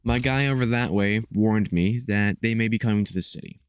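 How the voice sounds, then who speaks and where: A man playing a gruff, protective veteran vampire hunter in an audio roleplay, talking hesitantly and with light sarcasm to the listener. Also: lowish voice, brisk, dry recording, studio quality, close to an everyday voice. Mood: grim, resolute.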